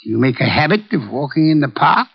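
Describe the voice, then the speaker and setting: An actor playing a pompous, shrewd, unflappable private detective in a 1940s radio drama, speaking formal, precise English. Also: male voice, a little high, big pitch swings, quick pace, vocal fry, breathy edge, heavily acted. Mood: probing, formal. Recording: muffled old 1940s radio recording.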